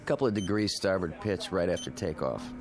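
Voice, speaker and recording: An eager flight control technician in a radio play. He has a lowish voice and speaks crisply and respectfully, as to a superior. Music runs clearly behind him. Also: expressive, brisk. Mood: upbeat, confident. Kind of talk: radio drama acting.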